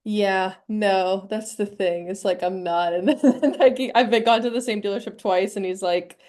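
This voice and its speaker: A woman's voice: mid-range voice, fairly even intonation, normal speed, darkish tone. A woman co-hosting a casual podcast, teasing her friend and trailing off while she thinks. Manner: playful teasing, hesitant when thinking. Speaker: podcast co-host. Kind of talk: casual chat.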